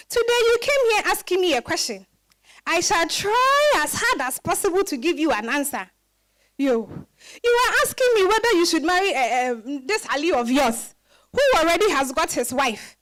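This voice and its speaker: A woman's voice: extremely high pitch, fairly bright tone, full character voice, rough recording. A woman playing a wry, scolding old grandmother with a Ghanaian accent in a dramatized reading, exclaiming and probing with rhetorical questions. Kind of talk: dramatized stage-style reading.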